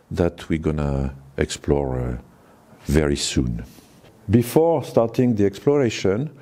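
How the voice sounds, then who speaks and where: Slow, deep-voiced French man guiding. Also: mellow. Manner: slow, halting, many "uh" fillers. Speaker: cave owner and guide. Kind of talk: guided tour to camera. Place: forest outdoors, then inside a small cave.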